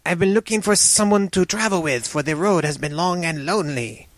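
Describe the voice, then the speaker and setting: A man voicing a tall, slender serpent-man with a soft voice, calm and measured. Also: sits high, dry recording, pitched above the performer's own voice, natural voice.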